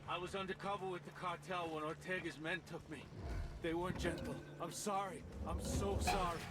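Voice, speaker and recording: An actor playing a terrified, broken undercover agent held captive in a video game, pleading and distraught. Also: male voice, sits high, fairly even intonation, brisk, close to an everyday voice. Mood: panicked pleading. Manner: pleading, desperate. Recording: heavy background noise.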